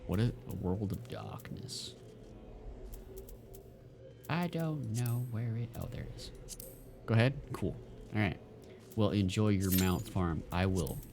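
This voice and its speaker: A man's voice: on the low side, animated, unhurried, creaky, pauses often, noisy background. A man streaming a game, chatting casually and rambling with lots of fillers and restarts. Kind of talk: casual chat while gaming.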